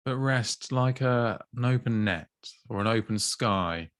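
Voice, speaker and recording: A man gently guiding a body scan meditation, slow and soothing with long pauses. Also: medium pitch, dry recording, studio quality. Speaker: mindfulness teacher.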